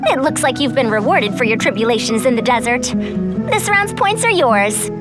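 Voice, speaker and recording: A woman's voice: high-pitched, lively intonation, moderate pace, loud music behind the voice. A woman playing a self-assured senior scholar in an anime game, formal, quaintly old-fashioned and slightly haughty. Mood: resigned.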